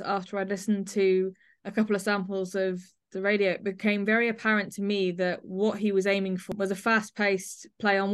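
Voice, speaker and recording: A woman hosts an arts podcast in a conversational manner. Her voice sits at a medium pitch. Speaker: podcast host.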